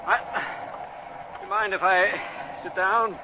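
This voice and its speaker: An actor in an old-time radio drama playing an earnest, excitable archaeology expert, polite but insistent. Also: male voice, sits high, drawn-out words. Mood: polite, uneasy. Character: earnest, excitable male archaeology expert, uneasy space traveler. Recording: muffled, hissy vintage radio recording.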